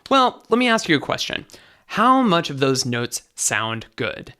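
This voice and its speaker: A man with a light, bright tenor voice, a vocal coach explaining singing technique as an interview guest. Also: a little high, very animated, unhurried, clear, resonant tone. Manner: enthusiastic teaching, sings short demos.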